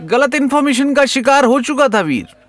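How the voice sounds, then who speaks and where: A man's voice: extremely high pitch, lively intonation, moderate pace. A man narrating a comic audio story in a playful, teasing, dramatic way.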